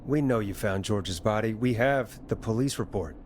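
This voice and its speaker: An actor playing a smooth-talking, gentle radio DJ in a video game, with a reassuring, playful way of talking. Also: male voice, fairly low pitch, normal speed, slight background noise, close to an everyday voice. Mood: gentle, probing.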